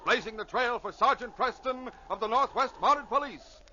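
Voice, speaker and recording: A man's voice: very high voice, normal speed, pushed and bright. An American man announcing and narrating in an emphatic, declamatory old-time radio style, reading a dramatic script. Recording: dull vintage radio recording, faint hiss.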